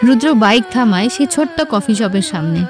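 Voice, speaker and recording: A woman's voice: fairly high pitch, animated, moderate pace. A woman narrator reading a scripted romantic story with sentimental, literary phrasing. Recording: music clearly behind the voice.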